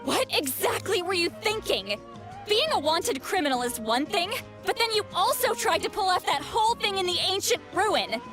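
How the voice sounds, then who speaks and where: High-voiced, fiery scolding granny leader. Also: female voice, lively intonation, moderate pace. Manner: bossy, teasing, blunt. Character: fiery, scolding woman leader, a tough granny with hidden fondness. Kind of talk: video game voice acting. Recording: music playing behind the voice.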